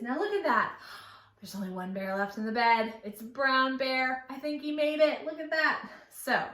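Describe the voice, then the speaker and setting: Slow high-voiced woman leading children's storytime. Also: a bit bright. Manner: singsong, exaggerated, questioning as if to small children. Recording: echoey room.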